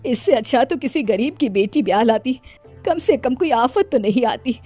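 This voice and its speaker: A woman playing an anguished, devoted village mother in an animated story, speaking with earnest, melodramatic pleading. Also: fairly high pitch, normal speed. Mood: distressed, pleading. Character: anguished, devoted village mother determined to save her son. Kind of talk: animated Hindi moral story drama. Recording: music under the voice.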